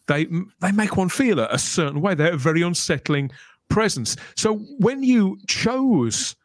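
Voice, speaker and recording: A man's voice: high-pitched, big pitch swings, unhurried, dry recording, studio quality. A man hosting a podcast, interviewing his guests in a conversational style. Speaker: podcast host. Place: remote online video call.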